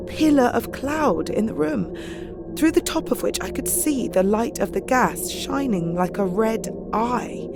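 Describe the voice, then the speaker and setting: Anxious young Victorian woman, British, mid-pitched, intimate diary monologue in an audio drama, music underneath.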